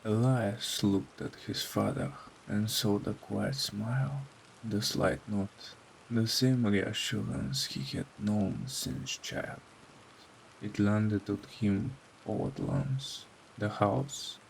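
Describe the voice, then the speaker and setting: A man narrating a scripted horror story in a solemn, dramatic way with deliberate phrasing. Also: fairly low pitch, gravelly, darkish tone, a little hiss or hum, low-quality recording. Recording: faint rain behind voice.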